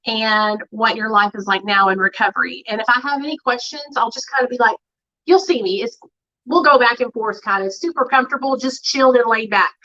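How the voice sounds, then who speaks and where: A woman hosts a casual livestream with a bright, fairly high voice. She talks in an excited, chatty way and fills her speech with "like" and "um". The audio is muffled and lo-fi. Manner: chatty and excited, with filler words. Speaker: livestream host.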